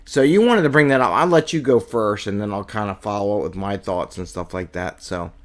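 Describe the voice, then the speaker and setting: Male host, lowish voice, friendly and prompting, loosely phrased questions. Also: a little fast. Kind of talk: casual interview chat.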